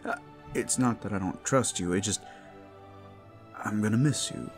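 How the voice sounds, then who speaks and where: A man playing a tender, protective werewolf lover in an intimate ASMR roleplay, speaking in halting, heartfelt phrases full of endearments. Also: on the low side, soft background music, natural voice. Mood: warm, teasing. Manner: soft endearments, halting heartfelt delivery, teasing asides. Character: tender, devoted, protective male werewolf lover working at a brothel.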